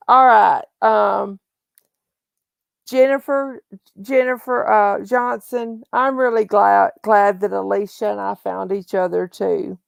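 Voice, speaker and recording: A woman's voice: mid-range voice, vocal fry, no echo. A woman hosting a casual livestream, chatty and often restarting her phrases. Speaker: livestream host.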